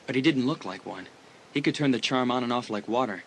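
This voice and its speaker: A man's voice: medium pitch, fairly quick, vocal fry, a little hiss or hum, close to an everyday voice. A young man playing an easygoing, teasing teenage school athlete in an audiobook dramatisation, chatting casually. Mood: grave, confiding.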